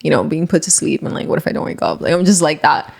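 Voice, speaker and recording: A young woman in her twenties chats on a podcast, her voice pitched on the low side. There is a gravelly fry to it, and she strings her thoughts together loosely with lots of "like" and "you know". It is recorded close on a studio mic. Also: sing-song, fast talker, a little mellow. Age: 20s. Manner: chatty, heavy filler "like", frequent laughs. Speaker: podcast guest. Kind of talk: casual chat. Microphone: studio dynamic mic.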